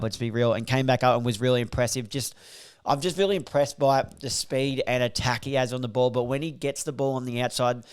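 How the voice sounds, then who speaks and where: Brief: male podcast host, a bit croaky, smooth resonant tone, rambling stat-heavy review. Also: mid-range voice. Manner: rambling, filler-heavy, reels off stats. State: slightly croaky, voice recovering. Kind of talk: casual solo podcast review.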